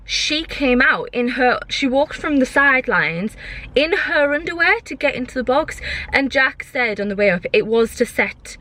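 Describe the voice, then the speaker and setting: A woman's voice: sits high, expressive, normal speed, bright, forceful tone. A young woman chatting casually for a vlog, airing her opinions in an exasperated, emphatic way and repeating herself. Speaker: vlogger.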